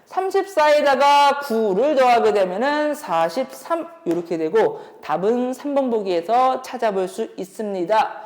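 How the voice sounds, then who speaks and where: High, animated female instructor in echoing room. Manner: explanatory, thinking aloud with rhetorical questions. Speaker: math academy instructor. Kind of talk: exam problem explanation lecture. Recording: reverberant room.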